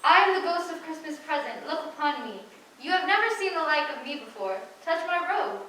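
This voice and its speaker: A woman's voice: high-pitched, sing-song, a little fast, pushed and bright, exaggerated voice. A teenage girl playing a grand, commanding giant spirit in a student radio play. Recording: echoey room, distant mic, background noise.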